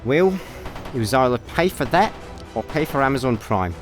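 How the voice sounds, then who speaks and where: A laid-back, cocky Scottish space slacker played by a man in a radio comedy. The delivery is flippant and animated in pitch, with music clearly playing behind. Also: moderate pace, lightly acted. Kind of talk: comic sci-fi radio play acting.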